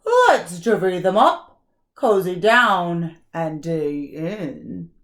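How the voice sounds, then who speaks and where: A woman's voice: on the low side, big pitch swings, very slow, a bit bright, reverberant, exaggerated voice. A woman voicing a friendly badger in a children's storybook, cheerful, coaxing and emphatic, eager to share. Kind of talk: children's storybook read-aloud.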